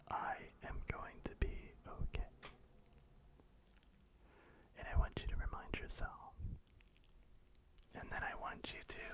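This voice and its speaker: A man whispering a slow guided meditation, softly repeating calm reassurances. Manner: slow, soft, repetitive reassurance.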